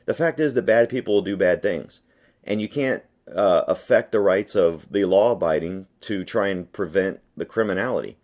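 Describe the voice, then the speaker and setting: Low-voiced man, emphatic phone interviewee. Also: normal speed, a little mellow. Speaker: interview guest, gun rights advocate. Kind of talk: phone interview, argumentative answers. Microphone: phone call.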